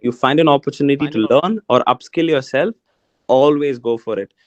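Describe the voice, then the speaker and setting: A man's voice: mid-range voice, normal speed, no room sound, highs cut off. A man with an Indian English accent, a native Tamil speaker, talking to students in a casual, encouraging way. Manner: casual, encouraging, calls listeners man and guys. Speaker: alumni guest speaker. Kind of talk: motivational talk to students.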